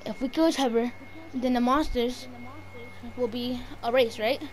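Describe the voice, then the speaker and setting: A woman's voice: a little high, fairly even intonation, moderate pace, lightly acted. A woman playing a pleading young woman who coaxes urgently and hesitantly in an amateur game roleplay film. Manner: hesitant, urgent, repetitive coaxing. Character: pleading young woman coaxing and luring a vampire.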